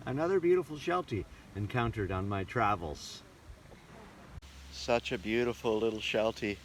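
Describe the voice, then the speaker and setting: Low-voiced man vlogging over noisy background. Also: animated, moderate pace. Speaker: radio show host and entertainer on tour. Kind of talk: casual travel vlog narration. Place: mostly outdoors, on location while travelling. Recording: noisy vlog audio with ambient vehicle rumble.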